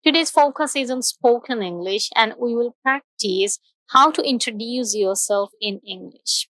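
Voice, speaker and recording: A young woman, teaching a scripted English lesson slowly and carefully, repeating example sentences. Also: a little high, a bit bright, no echo.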